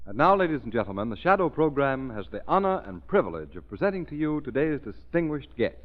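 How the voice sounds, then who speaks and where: A man's voice: mid-range voice, big pitch swings, quick pace, over loud music. A male radio announcer with a polished, formal 1930s announcing style. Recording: tinny 1930s radio broadcast.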